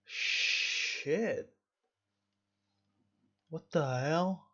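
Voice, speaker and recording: Higher-voiced man giving casual game commentary. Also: sharp tone, husky, dull audio. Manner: reflective, halting and repeating phrases. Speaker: gaming video host.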